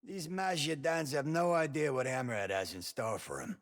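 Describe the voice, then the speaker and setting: A man's voice: medium pitch, moderate pace, crowd noise, close to an everyday voice. An actor playing a cocky American mob henchman in a video game, trading streetwise, teasing banter. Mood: amused, teasing. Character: joking, cocky male mob henchman on guard.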